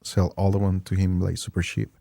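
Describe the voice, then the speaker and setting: Mexican man, halting English, low voice. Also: completely flat delivery, gravelly, dark tone, dry recording. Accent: Mexican Spanish. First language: Spanish. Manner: halting, searching for English words. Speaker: guest, game store owner. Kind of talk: casual interview chat.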